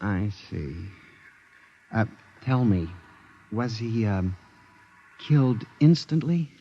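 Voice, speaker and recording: A man's voice: on the low side, lively intonation, takes it slowly, dark tone. An actor playing a nervous male statistical clerk who narrates a 1950s radio drama hesitantly. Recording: dull old radio broadcast with faint music.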